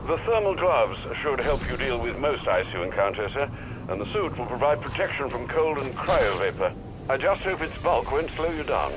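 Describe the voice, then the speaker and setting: A man playing a loyal, proper older butler in a video game, speaking formally and politely with measured, dry concern. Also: medium pitch, sing-song, music in the background, lightly acted, poor audio. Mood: calm, concerned. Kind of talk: dramatic video game acting.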